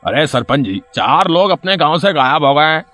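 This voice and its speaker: A man's voice: a little high, big pitch swings, moderate pace, sharp tone, no room sound. A man voicing an agitated, timid but loyal villager with a colloquial manner in a cartoon story. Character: excitable, timid male villager, loyal to his friends.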